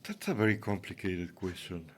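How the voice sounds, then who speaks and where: Male professor, low voice, Ecuadorian Spanish accent, answering interview questions reflectively. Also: moderate pace. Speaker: academic guest, professor.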